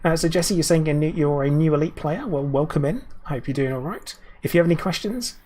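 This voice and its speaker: A man's voice: fairly high pitch, a little fast, darkish tone. Man streaming a game, slightly scratchy. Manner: chatty, answers viewers by name, muttering asides. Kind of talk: live gameplay commentary.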